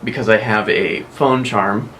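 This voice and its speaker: A man's voice: on the low side, measured pace, a bit bright, a little hiss or hum. A young American man hosting a casual weekly vlog, chatting and rambling with frequent self-corrections. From United States. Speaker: vlog host.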